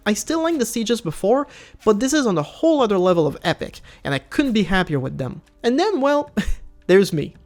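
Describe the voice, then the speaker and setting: Gaming reviewer for scripted voice-over: casual, enthusiastic, highly varied intonation, medium pitch. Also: big pitch swings, moderate pace, faint music behind. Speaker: gaming video creator and reviewer.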